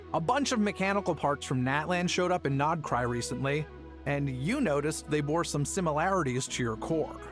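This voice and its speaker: A man's voice: on the high side, natural voice. A man playing a patient, dryly practical young traveler in a video game, explaining things with weary matter-of-factness. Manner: dry, matter-of-fact, sighing weariness.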